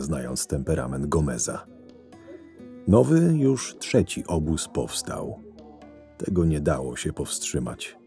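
A man playing a shrewd, sardonic right-hand man to a camp boss, narrating a fantasy audio drama in a measured way. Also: extremely low pitch, creaky, mellow, heavily acted. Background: music.

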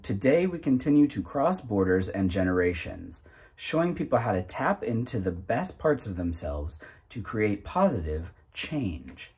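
A man in his thirties reading aloud from a self-help booklet in a deliberate, emphatic manner, occasionally stumbling over the text. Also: fairly low pitch, very animated, a little mellow, husky. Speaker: narrator reading a book aloud.

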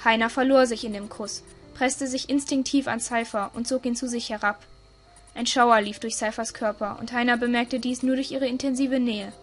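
A woman's voice: on the high side, level tone, normal speed, faint music behind. A woman reading scripted story narration for an audio drama.

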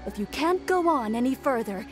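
A woman playing a composed, playful overseer in a video game, measured and teasing. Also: high voice, lively intonation, music in the background. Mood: encouraging. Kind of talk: video game character acting.

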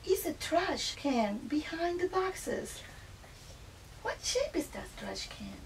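A woman teaching small children through a read-aloud, with an animated, playful, child-directed delivery. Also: extremely high pitch, takes it slowly. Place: small indoor classroom inside a mobile learning vehicle. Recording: distant mic with faint room noise.

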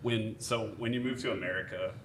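A young man with a bright, forceful tone and a somewhat low pitch asks questions in a loose, friendly way, restarting sentences as he goes. His desk microphone picks up a faint hum of room ambience. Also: fairly even intonation, brisk. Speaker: co-host. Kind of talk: casual interview chat. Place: indoor studio set with plants. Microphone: desk mic on stand.

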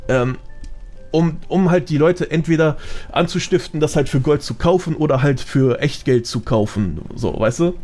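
A man's voice: fairly high pitch, moderate pace, darkish tone. A man with a colloquial, slangy way of explaining things, chatting casually as a guest on a gaming podcast. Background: background music.

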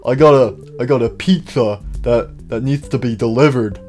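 A man's voice: medium pitch, moderate pace, darkish tone, soft background music, lightly acted. A man voicing a cocky, laid-back, flirty animatronic bear in an improvised comedy roleplay. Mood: smug.

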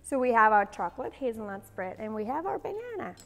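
A woman's voice: on the high side, big pitch swings, a little fast, vocal fry, in a large hall, soft background music. A woman in her forties hosting a cooking demonstration in a large home kitchen, chatty and bubbly, with a playful put-on Southern accent. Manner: chatty, bubbly, laughs often. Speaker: cooking show host.

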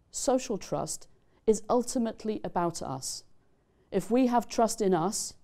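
A middle-aged woman delivering formal commencement remarks as a dean in a studio, speaking in a measured, deliberate way. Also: mid-range voice, mellow. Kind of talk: formal prepared ceremonial speech. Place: studio set with screens, indoors.

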